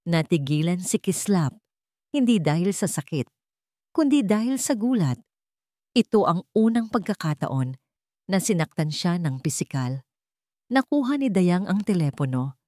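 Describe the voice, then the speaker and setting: A woman's voice: on the low side, big pitch swings, measured pace, pauses often, mellow, no room sound, studio quality. A woman reading a dramatic story as a scripted narration.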